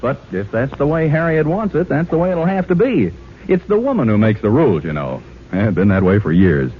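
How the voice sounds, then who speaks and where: An actor playing a folksy, confident commercial announcer in 1940s radio advertising style. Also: male voice, mid-range voice, very animated, a little fast, close to an everyday voice. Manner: folksy, emphatic salesmanship. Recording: tinny, noisy vintage radio recording.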